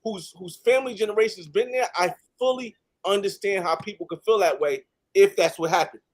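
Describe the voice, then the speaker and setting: A man's voice: sits high, brisk. A man from the United States talking casually on a panel, emphatic and repeating phrases for stress. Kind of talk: casual panel talk, travel advice.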